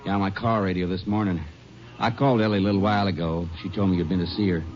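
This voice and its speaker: Man playing a low-voiced, stubborn country salesman. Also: fairly even intonation, quick pace, lightly acted. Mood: wary. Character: defensive, stubborn rural feed buyer under questioning. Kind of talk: 1940s-50s radio drama acting. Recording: muffled, noisy old radio recording.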